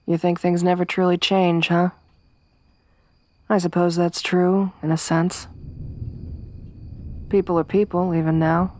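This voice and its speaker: A young woman voicing a tough, tomboyish survivor companion in an ASMR roleplay, speaking softly with a wry, weary warmth. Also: lowish voice, flat delivery, unhurried, long pauses, lightly acted. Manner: soft-spoken, wry, quietly reflective.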